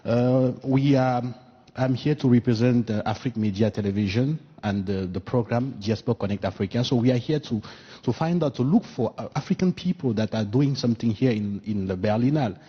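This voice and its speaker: Journalist, male, French first language, halting English, recorded dull in a reverberant press hall. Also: medium pitch, breathy edge. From Equatorial Guinea. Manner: halting, searching English with many restarts. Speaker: journalist asking a question. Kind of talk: press conference question. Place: press conference room.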